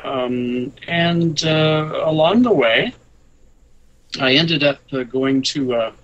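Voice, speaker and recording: A man's voice: fairly high pitch, animated, takes it slowly, a bit bright, dull audio, a little hiss or hum. A man in his sixties, born in Scotland, talking as a radio guest with wry asides and many hesitant fillers. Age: 60s. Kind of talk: casual interview talk.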